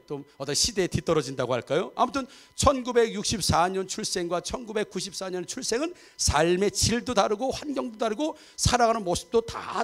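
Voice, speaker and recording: High-voiced pastor in his fifties. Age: 50s. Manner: chatty, often seeks agreement from the congregation. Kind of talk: sermon with humor and audience asides. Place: church sanctuary.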